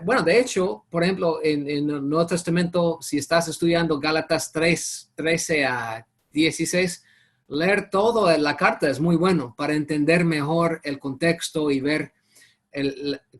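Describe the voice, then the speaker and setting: A man with English as his first language leading an online Bible study over a video call, often halting and searching for words. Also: fairly high pitch. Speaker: Bible teacher.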